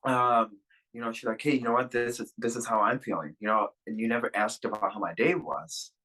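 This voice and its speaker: A young man, talking as a guest on a video call in a candid interview, rambling and halting with many fillers and restarts. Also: medium pitch, bright, forceful tone, rough recording. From Russia. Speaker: podcast guest.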